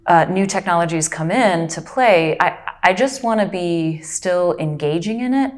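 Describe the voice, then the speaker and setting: A woman from Brazil, a native Portuguese speaker, recalls her path as an actor and narrator in an unhurried, reflective way. Her voice sits low for a woman. She talks casually and tells it like a story. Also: lively intonation. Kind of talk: casual interview.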